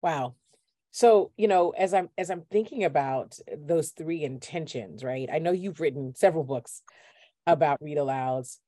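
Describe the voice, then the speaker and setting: Female interview host, low register, conversational, very dry recording. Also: a little slow, warm tone, no echo. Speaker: podcast host.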